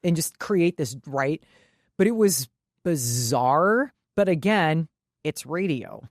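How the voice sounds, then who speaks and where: A woman's voice: sits low, lively intonation, takes it slowly, dry recording, studio quality. A woman co-hosting a casual sports talk show, retelling stories conversationally by acting out who said what. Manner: conversational, quotes herself and others. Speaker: sports podcast co-host. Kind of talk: casual chat, animated retelling.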